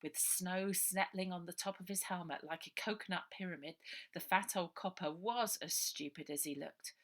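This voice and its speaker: A woman's voice: fairly low pitch, normal speed, a bit bright. A woman narrating a children's adventure story as its author, reading aloud.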